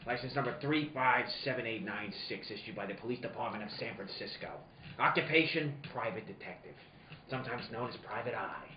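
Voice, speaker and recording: A man's voice: mid-range voice, fairly quick, a little hiss or hum, natural voice. A man playing a tough, cynical private detective in a 1940s noir radio play, dry and slangy. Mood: matter-of-fact, narrating. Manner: hard-boiled, slangy, dry. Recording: roomy, dull amateur recording with people around.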